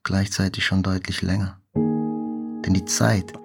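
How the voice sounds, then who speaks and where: An actor playing a shaken, reflective survivor looking back, speaking deliberately with pauses, as in a radio play. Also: male voice, deep voice, flat delivery, vocal fry, over music, heavily acted. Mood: brooding, reflective. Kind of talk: dramatic literary narration, radio play.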